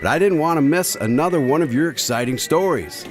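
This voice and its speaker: A man's voice: a little high, animated, brisk, soft background music, close to an everyday voice. A man acting a chatty, blunt, folksy fellow who tells his own adventure in a radio drama. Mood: friendly. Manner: folksy, tactless.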